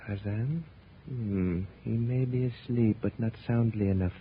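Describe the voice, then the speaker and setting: A very low-voiced actor's conspirator from an old radio serial, cool and commanding. He speaks in deliberate, formal phrases, and the 1930s recording is muffled and noisy. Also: close to an everyday voice. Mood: cool, scheming. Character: scheming, commanding treasure-hunting conspirator, coolly calculating.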